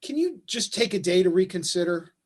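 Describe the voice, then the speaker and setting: A man playing a placating, apologetic husband and father with a husky voice in a staged play reading on a video call. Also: high voice, animated, fairly quick, slight background noise. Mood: worried, placating.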